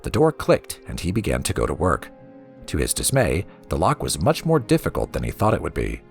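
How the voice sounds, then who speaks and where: Deep-voiced man, dramatic storybook narrator. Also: huge pitch swings, a little fast, creaky, soft background music. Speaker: lore reader for a gaming let's play.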